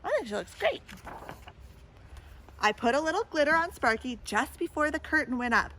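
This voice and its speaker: A woman reading a children's picture book aloud in an animated way, pausing to put questions and little comments to young listeners. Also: sits high. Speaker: storybook reader.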